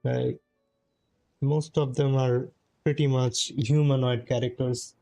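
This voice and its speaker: A man streams a game with casual commentary. His voice is mid-pitched and mellow, his delivery laid-back and musing. Quiet music plays underneath. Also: level tone, slow pace, gravelly, no room sound. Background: faint game music.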